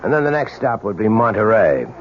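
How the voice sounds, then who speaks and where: An actor playing a dogged, grave police lieutenant in a 1970s radio drama, questioning with deliberate insistence. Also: male voice, fairly low pitch, sing-song, a little hiss or hum. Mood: probing, measured. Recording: dull vintage radio broadcast, crowd murmur behind.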